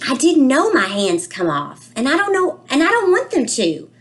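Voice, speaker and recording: A woman's voice: sits high, wildly swooping pitch, normal speed, a little hiss or hum, voice pushed up. Woman reading as an anxious little storybook boy. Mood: worried. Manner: worried, singsong rhyming delivery. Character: anxious, literal-minded little boy telling his storybook tale.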